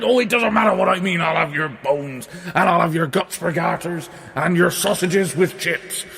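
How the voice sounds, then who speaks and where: A man voicing a huge, bad-tempered storybook giant who booms and blusters. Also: very animated, slow pace, vocal fry, echoey room, big-room sound, a crowd in the background, heavily acted. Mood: furious. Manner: booming, roaring, blustering.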